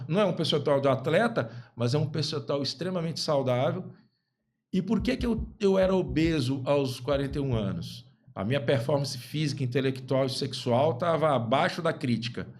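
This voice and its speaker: A man in his fifties, a guest physician on a podcast, speaking emphatically and repeating key phrases. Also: fairly high pitch. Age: 50s. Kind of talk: podcast interview talk.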